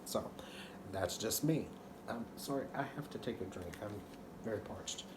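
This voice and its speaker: Hoarse-sounding Texan man rambling. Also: lowish voice, normal speed, noisy background. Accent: Texan, mostly masked. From Texas. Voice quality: slightly hoarse. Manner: rambling, many ums and restarts, knitting while talking. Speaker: knitting channel host. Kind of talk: casual chat to camera. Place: living room couch at home.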